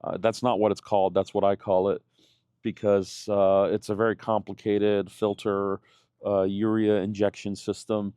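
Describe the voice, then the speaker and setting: Low, flat-voiced man rambling in a vlog. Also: moderate pace, smooth, no room sound, clean studio sound. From Germany. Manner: rambling, many fillers and restarts. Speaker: channel host. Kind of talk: casual vlog talk.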